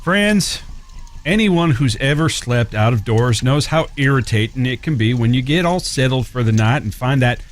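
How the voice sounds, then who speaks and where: An actor playing a wry, easygoing outlaw brother in a western audio drama, speaking in a folksy, teasing way. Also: male voice, medium pitch, animated, normal speed, natural voice. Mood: wry storytelling. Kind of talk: first-person storytelling in western audio drama.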